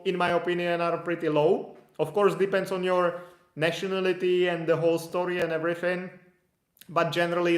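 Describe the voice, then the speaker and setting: A young man talks through visa questions in a loose, live-stream way, restarting sentences and filling gaps with "like." His voice sits fairly high but stays flat and even in pitch. The sound is roomy and echoey. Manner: conversational, thinks aloud with frequent restarts and "like". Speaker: immigration consultant answering viewer questions. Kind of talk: live-stream Q&A, casual explanatory. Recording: echoey room.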